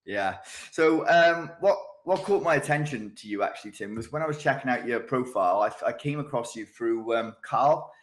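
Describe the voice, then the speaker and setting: A man's voice: mid-range voice, lively intonation, normal speed, few pauses. A man hosting a casual podcast interview, talking in a chatty, rambling way with lots of fillers and restarts. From United Kingdom. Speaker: podcast host.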